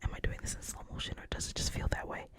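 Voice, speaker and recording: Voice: very fast, fairly bright tone, lightly acted. A whispering reader voicing an awkward, anxious teenage boy's inner thoughts. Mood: flustered. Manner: whispered, flustered inner monologue. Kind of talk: whispered screenplay reading.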